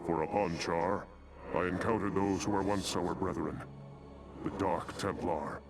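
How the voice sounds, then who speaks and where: An actor playing a resolute, noble alien templar commander in a video game, grave, formal and commanding. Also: male voice, sits low, steady tone, a little slow. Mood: urgent, grave. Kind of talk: scripted sci-fi video game dialogue. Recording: loud game music behind.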